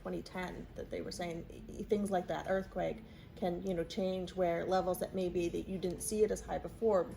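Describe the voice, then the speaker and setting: A woman's voice: on the low side, little pitch movement, normal speed, vocal fry, dark tone, poor audio. A woman hosting a conversational interview, asking a guest practical questions. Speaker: interviewer, real estate professional.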